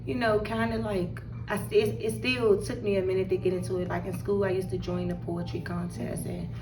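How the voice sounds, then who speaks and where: A woman's voice: medium pitch, flat delivery, fairly quick, noisy background. Woman with a D.C. accent, roomy and distant. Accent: Washington, D.C. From Washington, D.C., United States. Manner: candid, slangy storytelling. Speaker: interview guest, rapper. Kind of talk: casual interview chat. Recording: roomy, distant mic, loud music behind.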